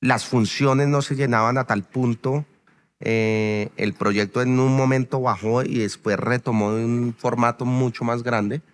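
A man's voice: medium pitch, fairly even intonation, unhurried. A man in his thirties with a Colombian accent, hosting a late-night talk show interview and asking teasing, playful questions. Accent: Colombian Spanish.

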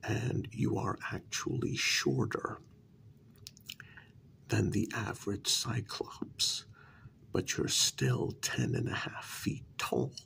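A man doing a soft-spoken, often whispered ASMR role-play as a coaxing, placating talent agent, with deliberate, halting phrasing. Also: fairly low pitch, big pitch swings, vocal fry, a little hiss or hum. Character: persuasive, placating talent agent to supernatural creatures.

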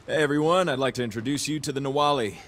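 A man's voice: mid-range voice, racing, a bit bright, slight background noise, close to an everyday voice. A man playing a cocky, easygoing young crime boss in a video game cutscene, talking casually with wry, profane ease. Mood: casual, upbeat.

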